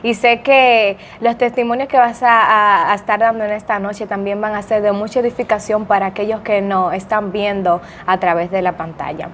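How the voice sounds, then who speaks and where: A woman's voice: medium pitch, fairly even intonation, normal speed, hardly any pauses, a bit bright, slight background noise. A woman hosting a church podcast, upbeat and affirming as she welcomes and questions her guest. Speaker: podcast host.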